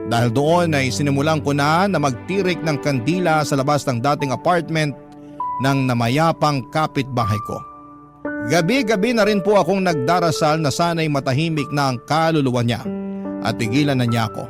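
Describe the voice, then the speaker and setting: Higher-pitched Filipino man narrating over music. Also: normal speed. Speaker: radio drama narrator reading a letter sender's story. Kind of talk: dramatic scripted first-person narration. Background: dramatic background music.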